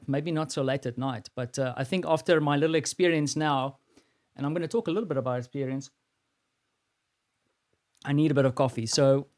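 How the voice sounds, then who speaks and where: A man with a South African accent hosting a live stream, talking casually and rambling with many fillers and restarts. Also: medium pitch.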